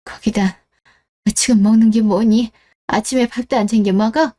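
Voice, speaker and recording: A woman's voice: on the high side, steady tone, moderate pace, warm tone, natural voice. A woman playing a scornful, possessive middle-aged mother-in-law in a scripted radio drama, scolding and accusing. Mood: suspicious, disapproving.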